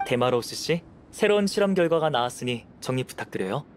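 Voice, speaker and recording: An actor playing a calm, scholarly young alchemist in an anime game, composed, measured and gently detached. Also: male voice, mid-range voice, a little hiss or hum, close to an everyday voice. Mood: polite.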